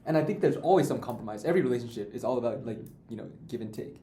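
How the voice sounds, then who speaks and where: A young man in a student discussion, halting and full of fillers as he works through his thoughts. The room is echoey, with a faint hiss under the voice. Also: mid-range voice, animated, mellow. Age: young adult. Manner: halting, many fillers and restarts. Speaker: student podcast co-host. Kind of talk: casual discussion.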